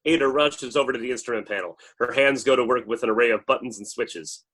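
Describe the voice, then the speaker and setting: A man with a mid-pitched voice narrates a screenplay. He reads scripted action lines aloud in the loose setting of a table read. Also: quick pace, fairly bright tone. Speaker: narrator reading stage directions.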